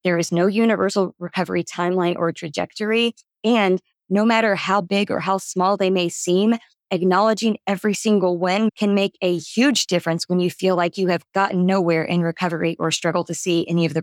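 A woman speaks calmly and reassuringly. Her voice is a little low, and the recording is dry. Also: a little fast, dry recording. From Kentucky, USA. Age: 30s. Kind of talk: recorded personal message.

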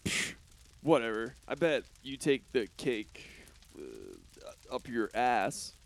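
A man voicing a bratty, boastful, self-absorbed teenage rich-boy hero in an animated comedy, whining and bragging with sudden loud outbursts. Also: medium pitch, slow pace, creaky, exaggerated voice. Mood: mocking.

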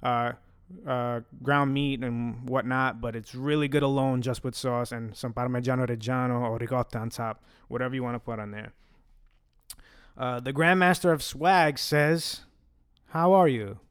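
A man's voice: medium pitch, fairly even intonation, moderate pace, clean tone, dry recording, studio quality. Chatty young man with a slight stutter. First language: Italian. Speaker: host answering fan questions. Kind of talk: casual Q&A chat.

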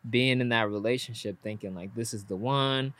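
A man in casual conversation, talking reflectively and in a measured way, often saying "you know" and "like.". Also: medium pitch, fairly bright tone, dry recording.

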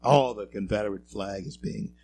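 A talk show host from Louisiana, giving a halting monologue full of fillers and repeated words that swells into emphatic peaks. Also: male voice, on the low side, a little mellow, a little hiss or hum. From Louisiana, American South.

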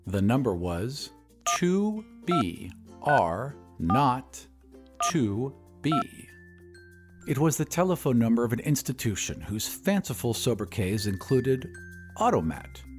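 Male narrator for fiction podcast: expressive scripted read, wide pitch movement, medium register, music bed.

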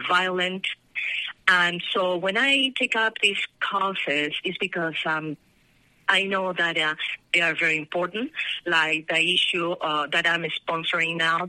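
A middle-aged woman with a Peruvian Spanish accent, talking earnestly as a guest in a radio interview, pausing and stressing words. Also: on the low side, pushed and bright, no room sound, poor audio. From Peru. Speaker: radio guest, initiative sponsor.

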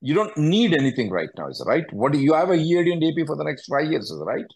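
A man's voice: fairly high pitch, sing-song, quick pace, a little mellow. A man working as an attorney and host, answering callers bluntly, often repeating himself and cutting in. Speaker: immigration attorney hosting a call-in. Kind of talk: question-and-answer advice call.

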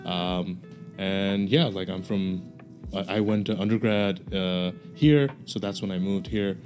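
A man's voice: sits low, fairly even intonation, a little slow, a little mellow, faint music behind. A young man from Bangladesh, a podcast guest, explaining things casually with many hesitations and fillers.

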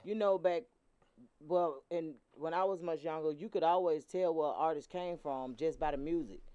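A man hosts a relaxed interview. His voice is smooth and clear, his talk slangy and easygoing, and he laughs easily. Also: a little high, normal speed. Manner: laid-back, laughing, slangy. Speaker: radio host. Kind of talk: casual interview chat.

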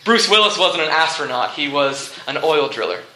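A man preaching a sermon to a room, speaking in short, deliberate phrases with rhetorical repetition and some humour. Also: fairly high pitch, very animated, pushed and bright, a little hiss or hum. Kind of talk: sermon with movie illustrations and humour. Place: live talk in a large room before listeners. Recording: echoey room.

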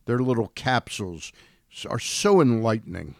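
A man's voice: mid-range voice, sing-song, moderate pace, creaky, no room sound. A man commenting on sports in a conversational way in a radio studio.

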